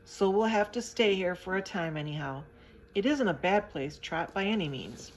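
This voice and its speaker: A woman's voice: on the low side, animated, normal speed, slight background noise, close to an everyday voice. A woman reading a kindly old sailor. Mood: calm. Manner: plain, homely sailor talk.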